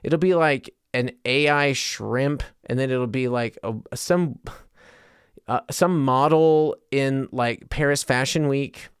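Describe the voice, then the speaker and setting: A man's voice: medium pitch, slow, clean tone, dry recording, studio quality. A man with an American accent hosting a podcast, talking casually in a rambling way and often interrupting himself. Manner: self-interrupting, trails into tangents. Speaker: podcast host.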